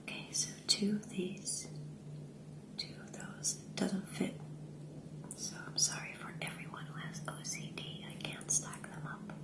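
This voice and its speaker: A woman from the Netherlands whispering slowly for an ASMR video, getting a cold, recorded on a binaural mic at home. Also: fairly low pitch, steady tone, creaky, reverberant. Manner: slow, soft whisper, describing what she does. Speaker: ASMR creator. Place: home room with glossy floor.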